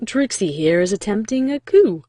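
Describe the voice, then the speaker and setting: Woman doing a mellow, husky, sarcastic pony princess. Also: medium pitch, very animated, normal speed, no room sound. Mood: amused, sarcastic. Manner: dry, teasing. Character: amused, sarcastic regal female pony princess. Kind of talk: cartoon fan fiction dramatic reading.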